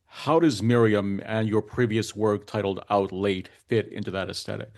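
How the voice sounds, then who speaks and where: A man hosting a podcast interview, putting prepared questions in formal, measured wording. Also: lowish voice, steady tone.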